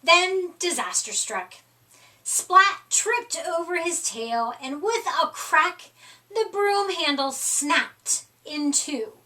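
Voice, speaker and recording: A woman reading a children's picture book aloud as expressive storytime narration, recorded a little way from the microphone. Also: very high voice, slow pace, distant mic. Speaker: storytime reader.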